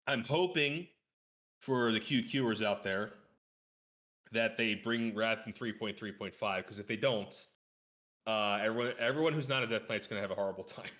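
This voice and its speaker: A male podcast guest talks games in a casual, conversational way. His voice sits fairly low yet has a bright, forceful edge, and he makes his points with emphasis. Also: normal speed. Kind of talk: casual gaming podcast chat.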